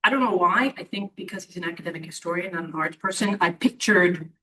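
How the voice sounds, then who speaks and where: A woman's voice: fairly low pitch, fast, bright, forceful tone. A woman in the audience making a long, rambling comment with many restarts. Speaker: audience member. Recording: roomy hall, distant mic.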